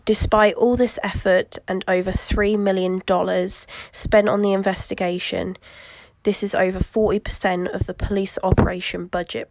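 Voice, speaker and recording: A young woman chats through a true crime story, her voice gravelly with vocal fry as she recovers from the flu. She fills her talk with ums and keeps an unhurried pace. The sound is close and dry, with no room echo. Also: medium pitch. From United Kingdom. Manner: frequent ums, soft and unhurried. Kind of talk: casual true crime vlog while colouring.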